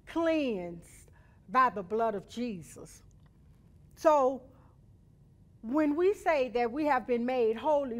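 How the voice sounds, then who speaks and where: A middle-aged woman teaching a Bible lesson to children in an instructive, emphatic way, often checking in with short tag questions. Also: fairly high pitch. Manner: instructive, emphatic, with check-in tags like "right?" and "okay?". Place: indoor studio or church room.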